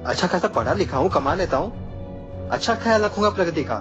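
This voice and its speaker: A man's voice: high-pitched, lively intonation, brisk, muffled recording, music in the background. An actor playing a blind young man who pleads and then speaks bitterly in a radio play. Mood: pleading.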